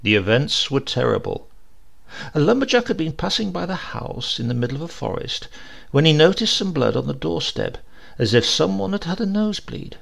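Creaky-voiced man narrating with lively intonation. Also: fairly high pitch, normal speed. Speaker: audiobook narrator. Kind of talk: expressive scripted literary narration.

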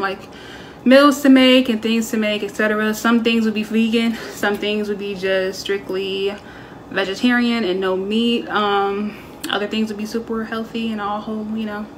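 A young woman in her twenties chatting casually as she walks through a home cooking recipe, with lots of fillers. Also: medium pitch. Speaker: cooking vlogger. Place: home kitchen, then a small bright bedroom.

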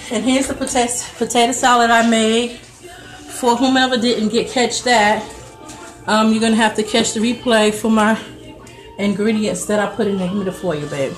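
A woman's voice: a little high, fairly even intonation, moderate pace, soft background music. A middle-aged woman from New Jersey with a Jersey accent, chatting casually with viewers while cooking in her home kitchen, phone held over the stove. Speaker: home cook livestreaming. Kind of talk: casual cooking livestream chat.

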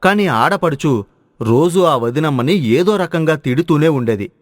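A man's voice: on the high side, lively intonation, measured pace, no room sound, studio quality. A man narrating a scripted moral story as its storyteller.

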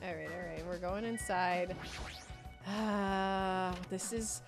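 A woman's voice: medium pitch, steady tone, slow. A woman co-hosting a let's play, giving casual running commentary. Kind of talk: casual gameplay chat and commentary. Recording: faint game music behind.

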